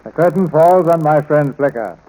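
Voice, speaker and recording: A man's voice: fairly high pitch, animated, moderate pace, warm tone, a little hiss or hum, rough recording. A man hosting a 1940s radio theatre show in a formal announcing style. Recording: vintage radio broadcast.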